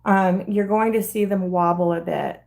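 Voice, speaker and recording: A middle-aged woman with a slightly hoarse voice, hosting a live stream and chatting her way through a craft demonstration step by step. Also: medium pitch, steady tone, normal speed, darkish tone. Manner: chatty, rambling, talks through each step.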